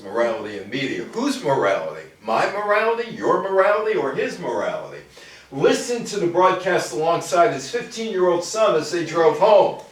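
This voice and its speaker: A man giving a public talk from notes in a halting manner, with asides and rhetorical questions. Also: a little high. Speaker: lecturer. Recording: very echoey room, distant microphone.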